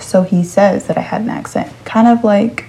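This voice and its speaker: A woman's voice: mid-range voice, steady tone, normal speed, warm tone, a little hiss or hum, poor audio. A woman from West Texas co-hosting a podcast, chatty and rambling in casual talk, listing ideas with lots of fillers. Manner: chatty, list-making, with um and like fillers. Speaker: podcast co-host. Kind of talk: casual chat.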